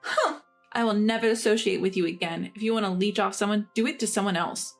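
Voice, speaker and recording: A woman's voice: medium pitch, steady tone, a little fast, vocal fry, faint music behind, close to an everyday voice. A woman voicing an entitled, snide sister-in-law who talks down to others. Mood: indignant. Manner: condescending, needling.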